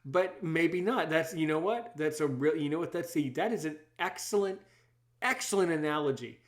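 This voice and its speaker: A man's voice: on the high side, lively intonation, normal speed. A man hosting a conversational podcast interview, speaking emphatically and often repeating his words and phrases for effect. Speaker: podcast host; English as a second language teacher.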